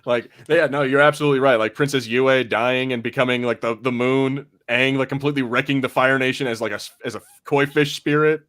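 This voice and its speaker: A man's voice: medium pitch, steady tone, brisk, few pauses, fairly bright tone. A man hosting a podcast in casual fan chat, informal and sweary, thinking aloud as he goes. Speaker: podcast host.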